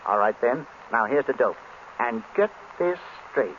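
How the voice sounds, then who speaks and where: An actor playing a furtive informant in an old radio drama, passing on a tip in a hushed, urgent, secretive way. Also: male voice, mid-range voice, big pitch swings, a little slow, vocal fry. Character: furtive male informant whispering a tip over the telephone. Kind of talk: 1930s-40s radio drama acting. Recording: muffled, noisy old radio recording.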